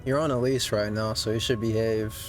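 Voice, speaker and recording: A man's voice: lowish voice, steady tone, brisk. A man giving casual reaction commentary as a game streamer. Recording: loud game music and battle noise behind.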